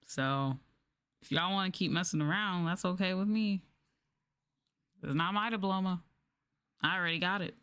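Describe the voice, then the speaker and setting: A woman with a fairly low-pitched voice talks casually as a livestreamer. Her phrasing is informal and slangy. She tells stories with exasperation, slipping into quoted exchanges as she goes. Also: moderate pace, long pauses, fairly bright tone, clear, resonant tone. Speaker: livestreamer and former English teacher.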